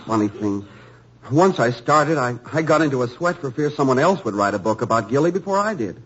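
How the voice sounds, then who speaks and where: An eager young author with a husky, breathy voice that stumbles and repeats words in his earnestness. He sounds humble and grateful. The recording is dull and lo-fi, like an old radio show. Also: medium pitch, expressive, fairly quick. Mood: earnest. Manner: earnest, stammering with nervous eagerness. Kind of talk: 1950s radio drama acting.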